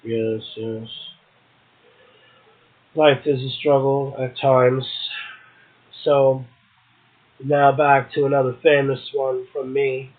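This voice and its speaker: A man's voice: medium pitch, flat delivery, takes it slowly, long pauses. A man hosting a poetry show, reading verse and commenting casually between poems. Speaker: poetry show host and poet. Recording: muffled, narrow phone-like sound.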